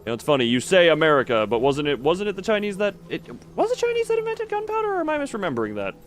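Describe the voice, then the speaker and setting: Animated man streaming a video game. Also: high voice, very fast, soft background music. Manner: rambling, thinking aloud. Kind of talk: casual gameplay commentary with live chat.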